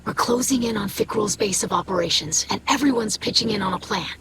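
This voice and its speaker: An actress playing an earnest, friendly scholar ally in a video game, articulate in delivery. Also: female voice, mid-range voice, a little fast, very noisy, lightly acted. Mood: grateful, determined. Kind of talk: video game character dialogue.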